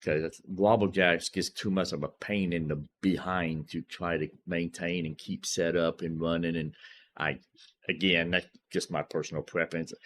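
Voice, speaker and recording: A man's voice: deep voice, steady tone, normal speed, fairly bright tone, slight background noise. A man giving casual, rambling gameplay commentary as a live streamer, often repeating himself in an easygoing way. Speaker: live streamer playing a video game.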